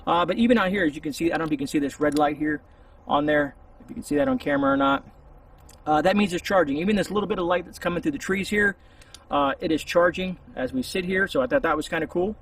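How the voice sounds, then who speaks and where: A man with a gravelly, husky voice giving a casual, rambling, hesitant product review outdoors, a little distance from the camera. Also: on the high side, fast, fairly bright tone, a little hiss or hum. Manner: informal, hesitant, meandering. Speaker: YouTube reviewer. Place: outdoors among trees. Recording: voice distant from the mic.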